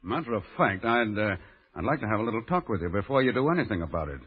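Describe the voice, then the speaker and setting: An actor playing a gruff, sardonic gangster boss in vintage radio drama, curt and slangy. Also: male voice, lowish voice, fast. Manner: curt, slangy, deadpan sarcasm. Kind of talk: 1930s-40s radio drama acting. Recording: muffled old radio broadcast, reverberant.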